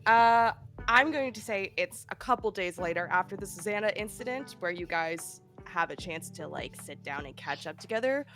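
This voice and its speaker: A woman's voice: mid-range voice, animated, moderate pace, a bit bright, faint music behind. A woman game master with a cold and a nasal voice, recapping the last session in a chatty, giggly way with many fillers. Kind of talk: narrating a tabletop game recap.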